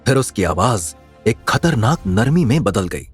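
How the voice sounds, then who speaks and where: A man's voice: fairly low pitch, big pitch swings, a little slow, slightly rough. A man narrating a scripted audio drama in a dramatic storytelling style. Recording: music under the voice.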